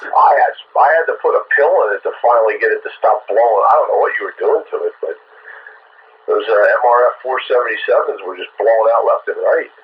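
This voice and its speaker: A middle-aged man with a slightly deep voice talks casually over CB radio. He has a wry way about him, and the transmission is muffled, with plain noise throughout. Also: fast. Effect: two-way radio transmission. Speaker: amateur CB radio operator and radio repairer. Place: heard through a CB radio receiver. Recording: noisy, muffled CB radio signal.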